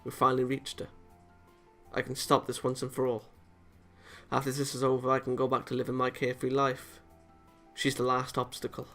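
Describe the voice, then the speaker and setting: A man's voice: mid-range voice, completely flat delivery, brisk, bright, forceful tone, faint music behind. A man reading a visual novel aloud dramatically with off-the-cuff reactions, sometimes tripping over words and rereading them.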